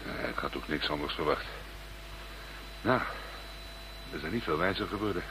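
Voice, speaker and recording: An actor playing a brisk, gruff police detective in a radio play, speaking in a clipped, easily exasperated way. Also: male voice, sits low. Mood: matter-of-fact. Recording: noisy, humming radio play recording.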